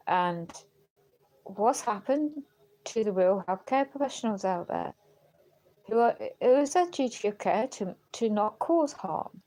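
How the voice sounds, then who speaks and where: A woman livestreaming in a rambling, halting way, earnest and questioning, her voice going as if she is unwell. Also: fairly low pitch, darkish tone, poor audio. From United Kingdom. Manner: halting, searching for words. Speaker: live streamer. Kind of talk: rambling personal livestream talk.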